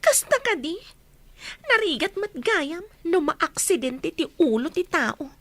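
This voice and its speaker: A woman's voice: very high voice, very animated, unhurried, a bit bright, no room sound, exaggerated voice. An actress playing a distressed, fretful woman in a radio drama, plaintive and emotional. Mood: worried.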